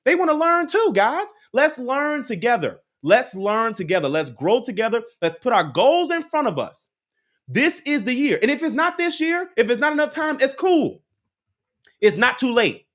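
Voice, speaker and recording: A man's voice: very high-pitched, big pitch swings, moderate pace, fairly bright tone. A man hosting a live credit advice stream, preaching to his viewers in a repetitive, motivational way and reacting to the chat with laughs. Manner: preachy, repeats key lines, laughs often, talks to the chat. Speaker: credit advice livestream host.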